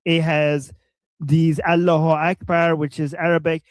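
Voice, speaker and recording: A man's voice: fairly high pitch, little pitch movement, measured pace, no echo. A man co-hosting a live stream, ranting with mocking insults as he comments on a video. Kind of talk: casual livestream commentary.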